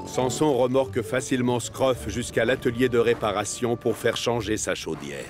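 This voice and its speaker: A man's voice: medium pitch, normal speed, faint music behind. A man narrating a scripted children's cartoon story as its storyteller.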